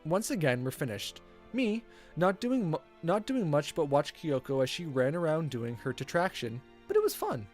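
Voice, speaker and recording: Animated streamer reading a visual novel aloud. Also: medium pitch, normal speed, soft background music. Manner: theatrical, playful, stumbles over read text. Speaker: game streamer.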